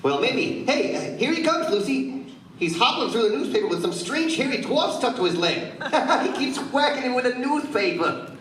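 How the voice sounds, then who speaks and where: Juvenile editor-in-chief, high pitch, goofy, comic radio play, distant faintly noisy recording. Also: male voice, big pitch swings, normal speed, fairly bright tone, full character voice. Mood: wry. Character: childish, goofy male newspaper editor-in-chief. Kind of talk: comic old-time radio play acting. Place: convention stage, recorded from the audience. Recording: distant camera, echoey room, faint noise.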